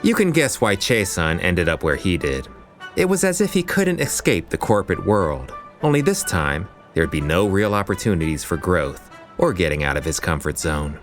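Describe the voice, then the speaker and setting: A man's voice: sits low, very animated, normal speed, soft background music. A man narrating a romantic comedy audio drama as a wry storyteller in scripted style. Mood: wry, measured.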